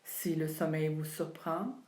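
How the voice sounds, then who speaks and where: A woman leading a guided yoga relaxation, speaking calmly and soothingly with long pauses between phrases. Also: low voice. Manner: calm, unhurried, soothing delivery with long pauses. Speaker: yoga nidra instructor. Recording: roomy, echoing sound.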